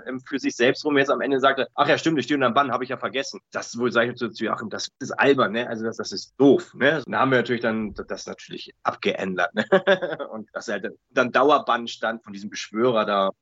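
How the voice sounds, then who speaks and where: A man chatting casually as an interview guest, rambling colloquially and telling stories in a lively way with frequent tag questions. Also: mid-range voice, fairly quick, no echo, highs cut off. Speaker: interview guest, audio drama writer.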